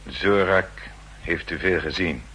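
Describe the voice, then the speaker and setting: An actor playing a deferential, discreet servant and secret accomplice in a 1960s radio play, speaking formally. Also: male voice, low-pitched, slow pace, bright, forceful tone, husky, full character voice. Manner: formal, subservient. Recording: humming, hissy 1960s radio recording.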